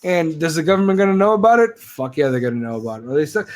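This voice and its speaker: A man in his late thirties hosts a casual live stream, swearing constantly as he rants. His voice sits a little higher than most men's. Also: very animated, very fast, darkish tone. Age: 30s. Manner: profane, heated rant. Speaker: podcast host.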